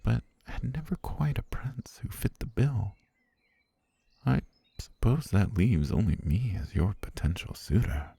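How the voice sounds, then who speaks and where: A man playing an obsessive, genteel butler holding a princess captive, in an intimate, softly menacing ASMR roleplay with a breathy, gravelly, half-whispered delivery. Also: on the low side, sing-song, measured pace, no room sound, heavily acted. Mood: possessive, tender.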